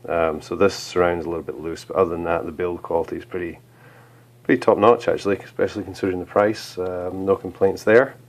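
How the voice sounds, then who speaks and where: A man casually reviewing a flashlight for his channel, relaxed, with many ums and uhs, just over a bad cold. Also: low voice, fast. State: recovering from a cold.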